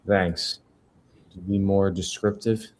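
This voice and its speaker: Low-voiced man reading a weary, wry bachelor. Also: steady tone, takes it slowly, a little mellow. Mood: tired, dry. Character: Cassidy, weary, lonely male newspaper proofreader, wryly curious. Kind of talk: film script table read.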